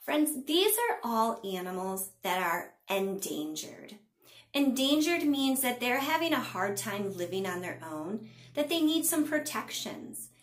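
A middle-aged woman, a teacher, doing an expressive read-aloud for young children in a small room. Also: on the high side, measured pace, reverberant. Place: small indoor room at a table.

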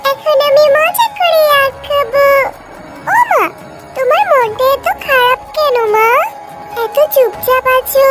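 A woman's voice: extremely high pitch, pitched above the performer's own voice. A woman voicing an eager, chirpy little fox girl in a children's cartoon story. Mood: delighted, then concerned. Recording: music behind the voice.

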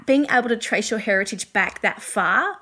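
A woman's voice: mid-range voice, animated, moderate pace, pushed and bright, husky. A woman with an Australian accent, hosting a podcast interview in a chatty, enthusiastic way. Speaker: podcast host.

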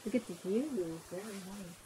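A woman's voice: medium pitch, normal speed, noisy background. A woman touring a ship cabin with delighted, admiring exclamations. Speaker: traveler touring her cabin.

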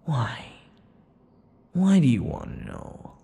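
A man's voice: medium pitch, wildly swooping pitch, slow pace, vocal fry, dark tone, heavily acted. A man voicing a possessive, teasing, vain vampire captor in an intimate ASMR roleplay, theatrical and taunting, slipping between murmurs and whispers. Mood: teasing, domineering. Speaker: audio roleplay performer. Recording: long reverberant echo.

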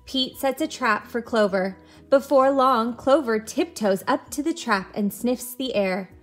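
A woman in her thirties reading and teaching for young children in an expressive, patient way. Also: a little high, measured pace, echoey room, soft background music. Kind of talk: children's read-aloud and lesson.

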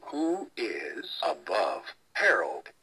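Encouraging toy voice by a man, over loud music. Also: low voice, wildly swooping pitch, takes it slowly, heavily acted, low-quality recording. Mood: cheery, encouraging. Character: cheerful talking train learning toy, encouraging helper. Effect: toy speaker. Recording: toy speaker playback with music behind.

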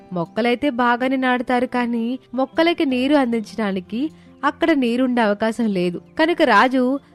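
A woman narrating a scripted moral story as a storyteller. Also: fairly high pitch.

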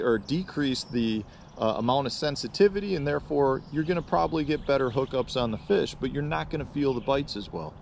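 A man's voice: a little high, vocal fry. A man in his forties explaining fishing gear in a conversational way to camera, repeating points for emphasis. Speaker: fishing show host and rod builder. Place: outdoors in a lakeside park. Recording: outdoor ambient noise.

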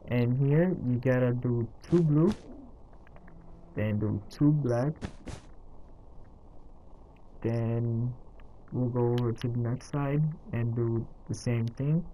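Slow-paced man giving casual tutorial. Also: medium pitch, muffled recording, a little hiss or hum. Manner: halting, instructional, counting out items. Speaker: tutorial host. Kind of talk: casual step-by-step game tutorial narration.

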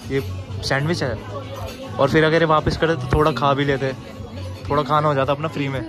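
A young man with a slightly high voice jokes and grumbles his way through a cafe review, holding his phone out at arm's length. His talk is brash and full of mocking slang. Loud music and a chattering crowd fill the room behind him. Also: little pitch movement, a little fast. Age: young adult. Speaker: vlogger. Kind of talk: casual cafe review vlog. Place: busy cafe indoors.

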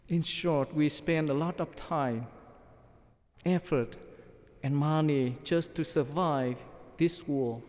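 A middle-aged man, a priest, preaching a measured sermon from notes at a church lectern microphone. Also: on the high side, darkish tone. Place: large church, at the ambo. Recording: long church reverb.

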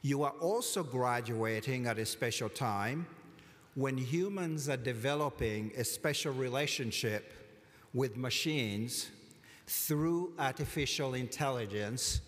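A man giving a formal commencement address from a lectern, measured and deliberate with touches of dry humor. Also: medium pitch, fairly bright tone. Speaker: college commencement speaker. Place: large hall at a lectern, indoors. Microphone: lectern microphone. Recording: long hall reverb.

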